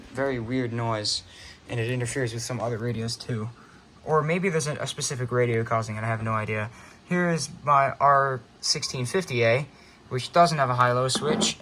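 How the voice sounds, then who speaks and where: A man giving a casual, unscripted show-and-tell for a hobby video, talking in a halting, offhand way and often interrupting himself. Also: mid-range voice, bright, forceful tone, a little hiss or hum.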